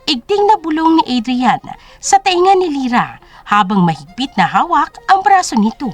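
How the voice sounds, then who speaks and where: Woman narrator, dramatic storytelling, high-pitched, lively intonation, scripted, soft background music. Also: normal speed, no echo.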